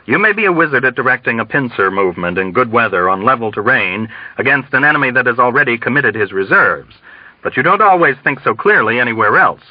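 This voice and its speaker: A man reading a novel as a calm, jaded male general with a weary, condescending manner. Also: mid-range voice, fast, no room sound, dull audio.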